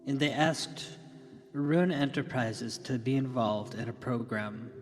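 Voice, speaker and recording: Slow, echoing keynote speech by a male actor. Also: mid-range voice, lightly acted. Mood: solemn, earnest. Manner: measured, deliberate, pausing between phrases. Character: earnest tech company leader giving a solemn keynote. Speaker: keynote speaker. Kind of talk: radio drama keynote speech. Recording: long hall reverb with music behind. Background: music.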